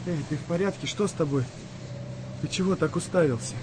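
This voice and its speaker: A man plays a nervy young sailor in a Second World War radio drama. His voice is high for a man, excitable and full of questions, with engine-like noise clearly behind it. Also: fairly quick, lightly acted. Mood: alarmed. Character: young, frightened, inquisitive sailor on a wartime convoy. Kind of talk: audio play acting.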